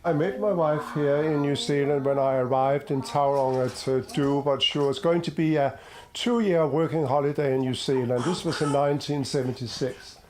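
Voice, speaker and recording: An older man from Denmark, answering interview questions hesitantly with many pauses and fillers. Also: on the high side, a little mellow. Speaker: project participant.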